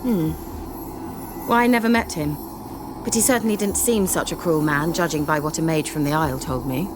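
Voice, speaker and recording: An actress playing a haughty, sly sorceress in a video game, speaking in articulate, formal, dryly condescending phrases. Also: female voice, low voice, normal speed, noisy background, close to an everyday voice. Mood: reflective. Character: haughty, sly young sorceress posing as village witch. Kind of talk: dramatic video game dialogue. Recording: game music under the voice.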